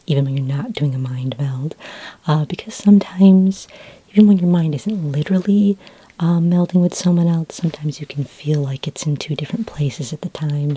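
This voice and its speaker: A woman speaking in a soft, breathy near-whisper, gently and unhurriedly playing a friendly, helpful librarian in an ASMR roleplay. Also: deep voice.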